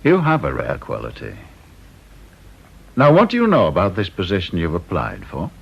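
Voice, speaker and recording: An actor playing a sardonic, talkative senior security interviewer, British, with a dry, teasing, mock-polite manner in radio drama style. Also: male voice, low-pitched, wildly swooping pitch, normal speed, creaky, husky, heavily acted. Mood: smooth, authoritative. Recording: dull, muffled old radio recording.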